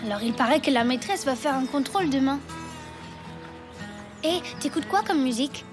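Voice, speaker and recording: A woman voicing a bossy, exasperated young schoolgirl in an animated cartoon, scolding and emphatic. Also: high-pitched, normal speed, over loud music. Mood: probing.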